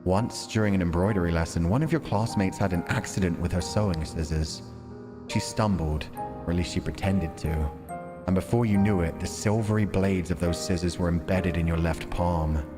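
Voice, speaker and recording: Male game streamer, very low voice, storytelling read-aloud, music underneath. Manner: measured, deliberate storytelling delivery when reading. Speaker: livestreamer reading a visual novel aloud. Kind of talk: dramatic read-aloud narration with casual stream chat. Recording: long reverb, music behind.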